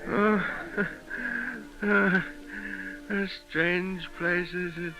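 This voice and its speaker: Wounded general, slow and strained, creaky. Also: male voice, high voice, fairly even intonation, dull audio, full character voice. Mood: weak, wistful. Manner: halting, groaning, stern orders. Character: wounded Civil War general, commanding yet gentle and forgiving. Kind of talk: 1930s radio acting. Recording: old 1930s radio recording, faint music.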